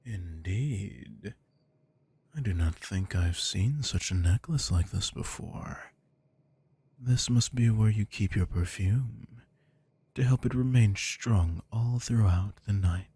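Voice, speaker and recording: A man voicing an arrogant aristocratic male vampire lord in an ASMR audio roleplay, speaking in a slow, low, menacing seductive murmur. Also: warm tone, husky, dry recording, exaggerated voice.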